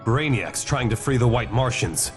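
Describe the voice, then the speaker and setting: Guy as earnest superhero in a game, normal pitched, decisive, music going. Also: animated, moderate pace, lightly acted. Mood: steady. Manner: plain, decisive. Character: earnest, resolute male superhero from Krypton. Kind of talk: video game cutscene acting.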